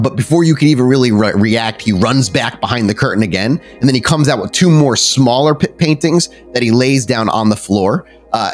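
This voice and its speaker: A man improvising descriptive narration as the storyteller of a tabletop roleplaying game, in a casual, conversational way. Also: medium pitch.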